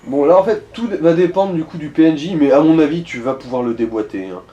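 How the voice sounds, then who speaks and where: A man comments casually on gameplay in a mellow, slightly high voice. He is crude and slangy, advising the player as he goes. The sound is clearly roomy, with game music behind. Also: fairly quick, muffled recording. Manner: crude, slangy, swearing freely. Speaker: gaming co-commentator giving advice.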